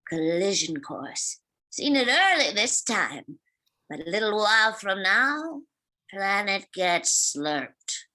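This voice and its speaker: A woman's voice: medium pitch, very animated, slow pace, bright, forceful tone, full character voice. A woman playing a scrappy old rat alien grandma in a comic sci-fi script read, speaking in clipped, folksy broken English. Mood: grave.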